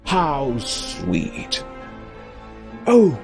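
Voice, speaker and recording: A man playing a vengeful, taunting villain in an audio drama, with a mocking, drawn-out theatrical delivery. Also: medium pitch, wildly swooping pitch, creaky, full character voice. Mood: mock-sweet. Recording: music under the voice.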